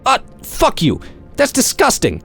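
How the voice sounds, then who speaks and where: A man's voice: extremely high pitch, wildly swooping pitch, unhurried, fairly bright tone, soft background music, voice pushed up, exaggerated voice. A man voicing a panicky, foul-mouthed story character who talks exclamatorily to himself. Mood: jittery disgust. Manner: exclamatory self-talk, swearing. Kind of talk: acted story dialogue.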